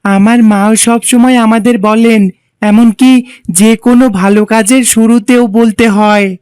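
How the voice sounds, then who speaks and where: Cartoon boy, played by a woman: well-mannered, earnest, slow, crisp delivery. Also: fairly high pitch, steady tone, a little mellow, no echo, lightly acted. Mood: earnest, polite. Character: polite, well-behaved, earnest young boy. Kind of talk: didactic cartoon dialogue.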